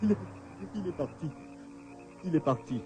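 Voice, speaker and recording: Radio drama role: man plays terrified stranded man, raised pitch, frantic pleading, halting repetition. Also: expressive, very noisy, lightly acted. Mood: bewildered despair. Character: frightened, desperate hunted man stranded on a night road. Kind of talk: French radio drama acting.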